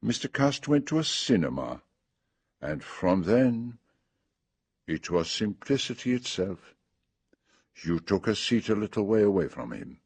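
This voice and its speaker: An actor playing a fussy, shrewd older detective with a Belgian French accent in a radio drama, speaking precisely and deliberately. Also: male voice, on the low side, big pitch swings, darkish tone, husky, no echo, exaggerated voice. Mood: methodical, explaining.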